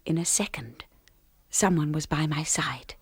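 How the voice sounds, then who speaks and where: An actress playing a shy young lady's companion in a radio drama, gentle, hesitant and well-spoken as she narrates and converses. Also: low voice, unhurried, noisy background. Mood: wistful, reflective. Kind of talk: radio drama acting and first-person narration.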